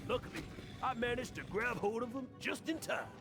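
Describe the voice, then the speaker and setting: A man's voice: very high voice, animated, brisk. A man voicing a boastful adult adventurer in a video game, grandiose and theatrical in his storytelling. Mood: dramatic storytelling. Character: boastful adult male adventurer, self-styled legend. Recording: studio game dub with clear music behind.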